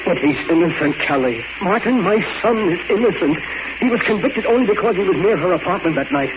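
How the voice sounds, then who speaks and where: A man's voice: high voice, animated, fairly quick. An actor playing a desperate middle-aged lawyer and anguished father, pleading emphatically in an overwrought 1940s radio drama style. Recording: noisy, dull 1940s radio recording.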